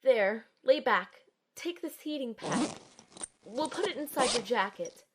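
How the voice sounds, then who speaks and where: A woman's voice: on the high side, lively intonation, a little slow, noisy background. A woman playing an earnest young healer in an audio drama, telling and acting out her own ordeal with soothing, emotionally involved delivery. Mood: soothing, urgent. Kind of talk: amateur audio drama, first-person narration and dialogue.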